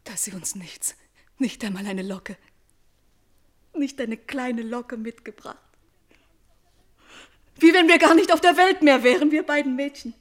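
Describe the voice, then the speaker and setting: An actress playing an anxious, soft-hearted young princess in grief, plaintive and emotional in a classical radio tragedy. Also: female voice, sits high, a little slow, pauses often. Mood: pleading.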